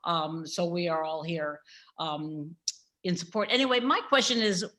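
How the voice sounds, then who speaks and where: A woman takes her turn as an audience member on a video call. Her voice is on the low side and strikingly bright, and she talks in an informal, chatty, familiar way. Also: normal speed. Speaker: audience member asking a question. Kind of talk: informal spoken question.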